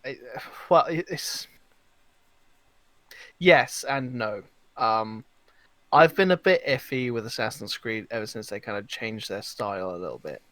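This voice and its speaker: A man on a podcast panel, chatting casually and telling gaming anecdotes in an informal, halting way. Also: fairly low pitch, lively intonation, bright, forceful tone, no echo.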